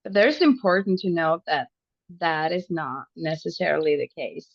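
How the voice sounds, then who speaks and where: Norwegian woman, podcast co-host. Lowish, creaky voice, halting delivery, searches for words. Dull, dry recording. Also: animated, dry recording. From Norway. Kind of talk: casual podcast conversation.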